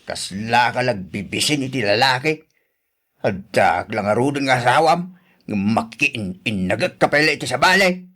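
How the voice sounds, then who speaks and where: Jealous stubborn ilocano husband, radio drama style, complains a lot, voice jumps all over, ilocano accent. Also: male voice, medium pitch, animated, moderate pace, bright, forceful tone. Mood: jealous, angry. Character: jealous, grumbling middle-aged husband, petty and stubborn.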